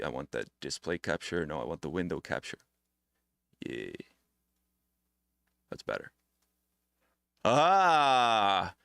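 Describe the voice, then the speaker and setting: A man's voice: medium pitch, a bit bright, clean tone, no echo, studio quality. A man from the Netherlands hosting a live stream, chatting casually and answering viewers' questions directly. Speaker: music production teacher hosting a demo stream. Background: electronic music playing.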